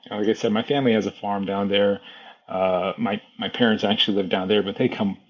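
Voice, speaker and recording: A man in his thirties from Brazil, a podcast guest talking casually about running a repair shop, relaxed and repeating himself, often saying "man". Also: lowish voice, fast talker, dull audio. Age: 30s. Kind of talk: casual conversation.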